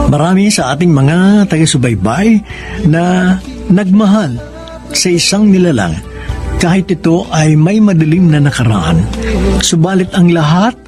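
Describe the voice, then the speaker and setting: High-pitched Filipino male radio drama host. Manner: formal, measured phrasing. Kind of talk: scripted radio narration. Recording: music bed under the voice.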